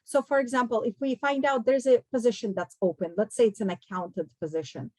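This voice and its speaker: A woman's voice: fairly high pitch, steady tone, fairly quick, a little mellow, no echo. A woman from Kazakhstan giving a conversational career talk to students as a guest speaker, explaining things directly to her listeners. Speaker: guest speaker, recruiting executive.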